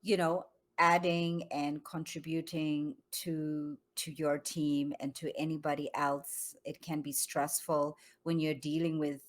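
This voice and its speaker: Low voiced woman from zambia, calm reflective guest on a video call. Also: a little slow, few pauses. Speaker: guest, yoga studio founder. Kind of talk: online interview conversation.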